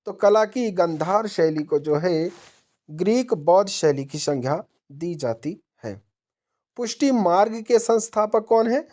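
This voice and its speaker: Mellow-voiced woman teaching. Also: on the low side, animated, unhurried. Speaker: exam-prep teacher. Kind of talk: question-and-answer quiz lesson narration.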